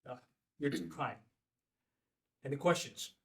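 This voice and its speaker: A man's voice: medium pitch, lively intonation, unhurried, lightly acted. Man acting a slick charismatic mountaineer, echoey room. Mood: polished, gracious. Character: charismatic best-selling mountaineer, late thirties, slick and self-mythologizing. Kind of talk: dramatic acting at a table read. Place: indoor room at a filmed table read. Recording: echoey room, distant microphone.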